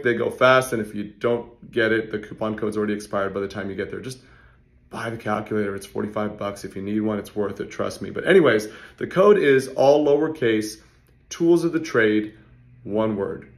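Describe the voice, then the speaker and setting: Hoarse man in his thirties, gravelly fry, casual explainer, phone camera in an office hallway. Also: lowish voice. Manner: fast, conversational, salesy asides. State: hoarse, voice worn from yelling. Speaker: real estate investor and channel host. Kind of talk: casual talking-head explainer.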